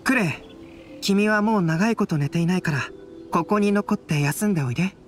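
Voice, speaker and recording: Man playing a calm, analytical young artist. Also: high voice, a little fast, soft background music, natural voice. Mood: calm, gentle. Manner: composed, explanatory, reasoned. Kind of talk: Japanese game cutscene acting.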